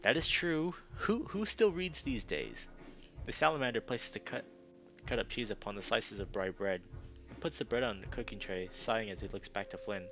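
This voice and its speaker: A man reading a visual novel aloud as a streamer, breaking off into casual, excitable reactions. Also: on the low side, normal speed, dull audio. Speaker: let's play streamer, narrator. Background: background music.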